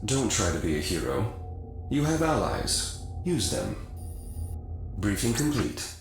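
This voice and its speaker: An actor playing a businesslike mission broker in a video game, briefing a mercenary pilot in a measured, advisory way. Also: male voice, deep voice. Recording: very echoey, music behind.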